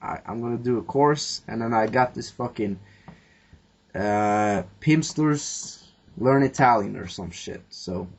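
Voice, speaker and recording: A man's voice: mid-range voice, unhurried, vocal fry, husky. A man hosting a casual podcast, rambling through his plans with lots of fillers and swearing. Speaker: podcast host. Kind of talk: casual chat.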